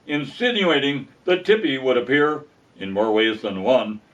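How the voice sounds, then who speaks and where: Male narrator, mid-range pitch, folksy wry storytelling, read aloud, muffled lo-fi sound. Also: big pitch swings, unhurried. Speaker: audiobook narrator reading a comic story. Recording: dull lo-fi sound, distant from mic.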